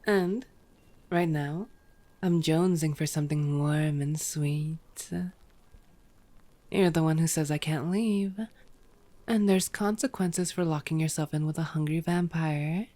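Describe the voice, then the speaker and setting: A woman's voice: deep voice, measured pace, long pauses, dark tone. A woman voicing a playful, cocky female vampire in flirty, sardonic ASMR roleplay. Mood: flirty hungry. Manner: flirty, sardonic, foul-mouthed banter.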